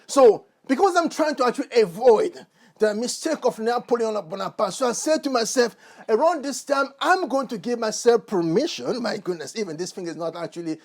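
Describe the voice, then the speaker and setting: A man talking earnestly to his channel's viewers in a warm, effusive way, with frequent fillers and restarts. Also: extremely high pitch, expressive, a little fast. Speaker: motivational YouTuber. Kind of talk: casual monologue to camera.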